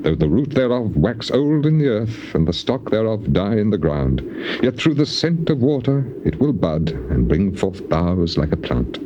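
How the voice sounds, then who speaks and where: An anguished, righteous sufferer from an archaic scripture drama. His low voice rises and falls dramatically as he declaims with passion and heavy pauses. The old cassette recording sounds dull and muffled. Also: very animated, noisy background. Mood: mournful, resigned. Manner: declamatory, impassioned, with weighty pauses. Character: suffering, defiant righteous man pleading with God.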